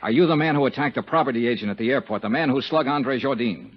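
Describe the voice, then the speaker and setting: An actor playing a tough, wry insurance investigator in a 1950s radio drama, American accent, blunt and sardonic. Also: male voice, medium pitch, quick pace, slightly rough, lightly acted. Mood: subdued, urgent. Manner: hard-boiled, sardonic, blunt. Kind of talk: 1950s radio detective acting and first-person narration. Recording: muffled 1950s radio recording, dramatic music behind.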